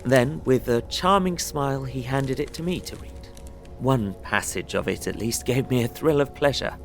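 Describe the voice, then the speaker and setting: A man playing an earnest young solicitor, narrating his travel diary in a measured, descriptive way for an audio drama. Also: medium pitch, lively intonation, gravelly. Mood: relieved, measured. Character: young English solicitor recounting his journey, earnest, observant. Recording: prominent music under voice. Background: music.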